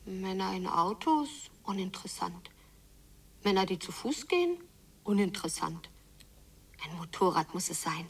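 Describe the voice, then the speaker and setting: Breathy, yearning young girl, distant. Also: medium pitch, big pitch swings, normal speed, pauses often. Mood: yearning, impatient. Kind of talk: radio drama inner monologue, often whispered.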